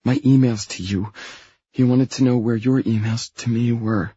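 A man voicing an anxious, pleading young woman in an audiobook, hushed and imploring. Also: medium pitch, steady tone, moderate pace, slightly rough, no room sound, muffled recording, pitched above the performer's own voice. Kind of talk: audiobook character dialogue.

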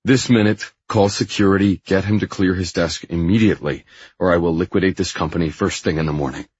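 A man's voice: low voice, brisk, a little mellow, husky, dry recording, lo-fi sound. A man voicing a curt, hot-tempered male tycoon in an audiobook, growling out commands. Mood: commanding. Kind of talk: audiobook character dialogue.

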